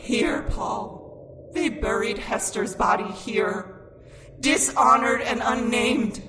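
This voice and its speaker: A woman's voice: fairly high pitch, steady tone, slow pace, vocal fry, roomy sound, in a large hall, background noise. A woman playing a vengeful, fatalistic colonial ghost possessing a wife, speaking archaically in old-time radio horror style. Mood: eerie, fatalistic. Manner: archaic, theatrical speech.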